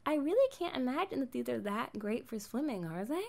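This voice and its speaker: A woman's voice: fairly high pitch, vocal fry. A woman voicing a kind, naive young mermaid in an ASMR roleplay, playful and chatty as she speaks straight to the listener. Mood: curious, playful. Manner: playful, chatty, expressive, drawn-out phrasing.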